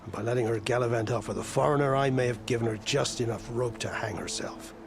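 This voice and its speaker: An actor playing a weary, proud older admiral of a stranded fleet in a video game, speaking in a formal, grave, wry way. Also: male voice, medium pitch, fast, background noise, natural voice. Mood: wary, stern. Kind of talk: cinematic video game acting. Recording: music behind the voice.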